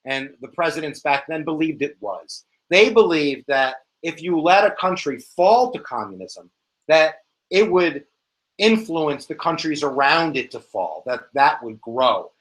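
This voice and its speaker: A man with an American accent teaching a casual, joking exam review lesson in a slangy, animated way. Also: on the high side, a little slow, rough recording. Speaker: history teacher.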